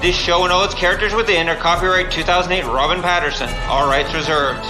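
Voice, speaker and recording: A man's voice: sits high, fairly even intonation, quick pace. A man announcing scripted show titles and credits. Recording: music behind the voice. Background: music.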